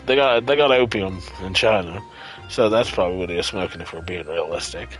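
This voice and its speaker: A man's voice: low-pitched, fairly quick, faint music behind. A man doing casual live gameplay commentary as a streamer, irreverent, sarcastic and freely profane. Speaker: game streamer.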